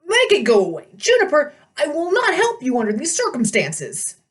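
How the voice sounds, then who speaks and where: Storybook satyr, elderly and male, played by a woman: raised pitch, pompous, indignant, prone to sputtering. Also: wildly swooping pitch, normal speed, recorded from a distance. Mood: huffy, dismissive. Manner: huffy, blustering, stammering when flustered.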